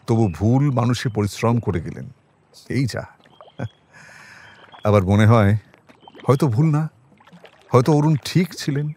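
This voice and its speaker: A man's voice: medium pitch, breathy edge. A wry, reflective male detective. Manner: musing, conversational, gently ironic. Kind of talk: Bengali audio drama acting.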